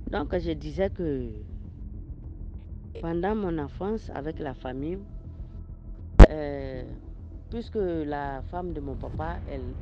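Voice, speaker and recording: An Ivorian man speaks in a fairly high voice, slowly recounting a strange story and circling back over his phrases for the listeners. He recorded it as a phone voice note, so it sounds dull and muffled. Loud music plays underneath the whole time. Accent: Ivorian French. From Ivory Coast. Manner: slow, repetitive storytelling addressed to listeners. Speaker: caller sharing a story. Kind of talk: personal mystical story told in a voice message.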